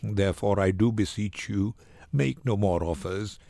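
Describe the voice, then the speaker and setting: A man voices a bitterly patient merchant in Shakespearean verse. He sounds solemn and resigned, his rhetoric pitched on the low side, recorded dry. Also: a little slow, vocal fry, mellow, no echo. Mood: bitter resignation. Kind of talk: Shakespearean dramatic reading.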